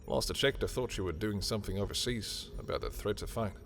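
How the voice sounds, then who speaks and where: A man's voice: fairly low pitch, quick pace, a bit bright, faint music behind. A man playing a sardonic, petty villain in a scripted audio roleplay, talking in theatrical, sarcastic taunts. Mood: annoyed. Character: sardonic, petty male villain, grudgingly familiar with rival.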